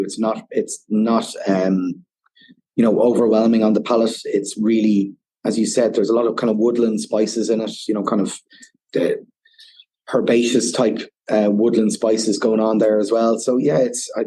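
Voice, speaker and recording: A man's voice: on the low side, fairly even intonation, moderate pace, warm tone, slightly rough. A man with an Irish accent, a whiskey ambassador guest on a podcast, explaining at length and often saying "you know". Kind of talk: casual podcast interview.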